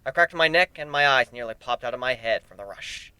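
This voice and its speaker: A man's voice: medium pitch, animated, a little fast, bright, forceful tone, smooth, no room sound. A man reading a first-person fantasy story aloud as an amateur dramatic narrator. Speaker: amateur fan fiction reader. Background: background music.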